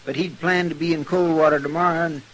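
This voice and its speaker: An American actor playing an anxious, talkative, loyal deputy in an old radio western, with a rural twang and a rambling, hesitant manner. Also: male voice, on the high side, steady tone, fast, fairly bright tone, lightly acted, low-quality recording. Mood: earnest, reasoning. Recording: old radio recording, faint noise.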